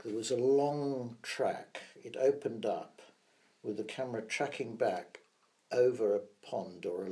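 An older man, a sound effects veteran, telling measured, reflective stories from his working years in an interview. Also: lowish voice, darkish tone. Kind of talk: anecdotal interview storytelling.

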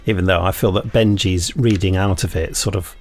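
A man's voice: deep voice, steady tone, brisk, a little mellow, faint music behind. A British man co-hosting a podcast, reading out listener emails and jokily breaking off to add his own comments. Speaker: podcast co-host.

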